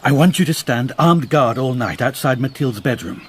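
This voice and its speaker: A man playing an anguished, secretive, honourable gentleman in a radio drama, speaking formally and guardedly. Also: mid-range voice, lively intonation, moderate pace, slight background noise, close to an everyday voice. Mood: grave, resolute. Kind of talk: British radio drama acting.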